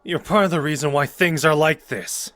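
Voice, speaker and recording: A man's voice: on the high side, moderate pace, fairly bright tone, dry recording, natural voice. A man playing a cocky, protective sorcerer and sarcastic rival in an anime audio roleplay, informal and sardonic with an earnest core. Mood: scornful.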